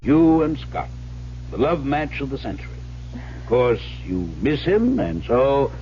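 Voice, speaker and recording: Condescending psychiatrist guy, old radio drama, pushy questions, animated pitch, muffled sound. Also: a little slow. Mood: probing. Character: probing, patronizing male psychiatrist, coolly insistent. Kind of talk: vintage radio drama acting. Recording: muffled old radio broadcast with hiss and hum.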